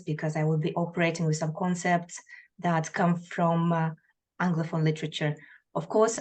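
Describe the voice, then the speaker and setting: Kazakh-born woman presenting over a video call. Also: low voice. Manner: hesitant, many fillers and restarts. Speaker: artist presenting research. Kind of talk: conference presentation.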